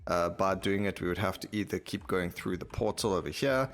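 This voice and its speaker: A man's voice: low voice, fairly quick. A man hosting a gaming video, giving casual, conversational commentary and thinking aloud as he plays. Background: game music.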